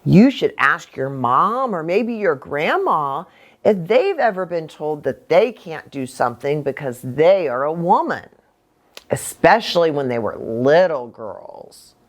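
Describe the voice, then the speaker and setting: Animated American woman preacher teaching children. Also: low voice, unhurried. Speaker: preacher and children's story host. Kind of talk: children's lesson talk, explaining simply.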